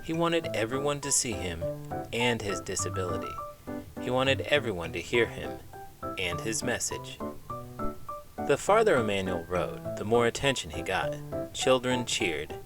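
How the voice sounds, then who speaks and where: A man reading a children's book aloud in an expressive, emphatic, measured narrating style. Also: fairly low pitch, music in the background. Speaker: children's book reader, teacher.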